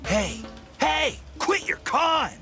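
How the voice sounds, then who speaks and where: A man playing a loud, taunting bandit in a video game cutscene, mocking and theatrical, repeating himself as he drawls. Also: extremely high pitch, very animated, takes it slowly, vocal fry, exaggerated voice. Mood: angry, loud. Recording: loud music under the voice.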